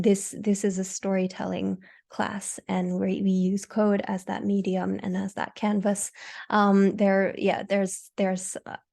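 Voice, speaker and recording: A woman's voice: mid-range voice, gravelly, darkish tone. A woman giving an online presentation over a video call, hesitant and full of fillers and restarts. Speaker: fellowship presenter.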